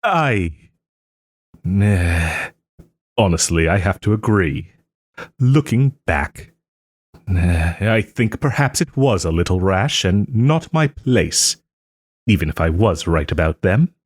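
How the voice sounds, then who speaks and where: A man playing a proud, sarcastic nobleman in a scripted roleplay audio drama, with haughty, theatrical phrasing and pointed pauses. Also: low voice, animated, breathy edge, no echo, heavily acted. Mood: rueful. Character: wry, wounded young nobleman lord, sarcastic and proud.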